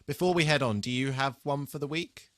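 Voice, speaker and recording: A man's voice: medium pitch, fairly quick, a bit bright, no echo. A man co-hosting a podcast, chatting casually and off the cuff with wry, sweary banter. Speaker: podcast co-host. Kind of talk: casual unscripted TV re-watch chat.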